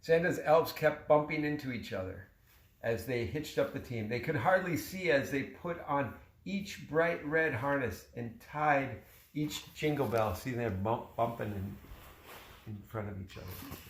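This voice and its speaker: A man reading a children's story aloud expressively, like a father sharing it with his child. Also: mid-range voice. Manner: expressive, pausing to chat with the child. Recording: roomy, echoey home recording.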